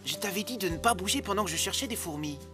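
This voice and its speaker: A woman performs a young cartoon armadillo in a medium-pitched voice. The character is a protective elder sibling, firm and plain-spoken but caring, with clear music playing behind. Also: animated, quick pace, natural voice. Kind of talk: French-dubbed children's cartoon acting.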